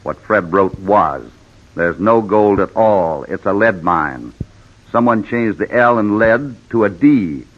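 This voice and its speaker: A low-pitched American cowboy hero from a golden-age radio western. He is commanding, brave and deliberate in his delivery. The sound is a dull old recording with long reverb. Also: animated. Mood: accusing. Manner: firm, deliberate, authoritative. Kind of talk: 1940s-50s radio western acting.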